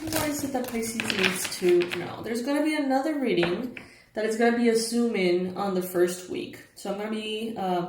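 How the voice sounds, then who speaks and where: A young woman hosting her own tarot channel, her voice raspy and hoarse from just waking up, chatting casually with fillers and soft laughs. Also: mid-range voice. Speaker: tarot reader, channel host. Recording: echoey room, faint noise.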